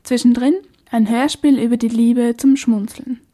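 A woman's voice: a little high, fairly even intonation, moderate pace, warm tone. A woman radio presenter reading a scripted introduction.

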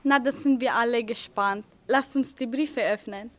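A young female pupil playing an excited, friendly young woman in an amateur school radio play. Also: on the high side, moderate pace, lightly acted. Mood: curious.

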